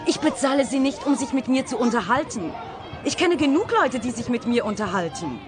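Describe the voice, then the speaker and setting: An agitated, reproachful teacher in a radio mystery, acted by a woman. The voice is somewhat high, with clipped and precise indignation, and a crowd is audible in the background. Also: expressive, brisk, lightly acted. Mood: indignant. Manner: curt, reproachful, precisely spoken. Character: agitated, indignant female teacher, a demanding client. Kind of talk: radio crime play acting.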